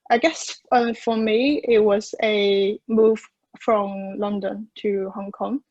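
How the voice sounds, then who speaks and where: Flat-voiced hedging young woman. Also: medium pitch, no room sound, highs cut off. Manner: hedging, many fillers and restarts. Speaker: panelist. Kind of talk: conference panel talk.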